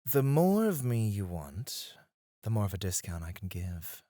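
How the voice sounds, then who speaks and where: A man playing a suave, flirtatious club escort in an intimate ASMR roleplay, teasing and breathy, close to the mic. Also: fairly low pitch, very animated, dark tone, no room sound. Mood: playful, coaxing. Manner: slow, teasing, seductive, often dropping to a breathy murmur. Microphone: close studio microphone, dry.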